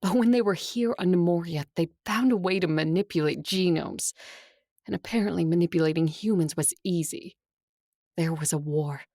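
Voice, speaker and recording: A centuries-old vampire noblewoman in an audio drama. Her voice is lowish, with a gravelly fry, and dryly recorded. She speaks earnestly and with measured resolve. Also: dark tone, no echo, studio quality. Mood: grave, confessional. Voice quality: gravelly, husky. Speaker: voice actor and story narrator.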